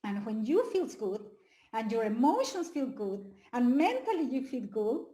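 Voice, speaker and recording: A woman from Mexico with a Mexican Spanish accent, a life coach giving a lively live webinar talk to an audience, often asking them questions. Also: fairly high pitch, measured pace, echoey room. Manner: energetic, asks the audience questions, nonnative phrasing.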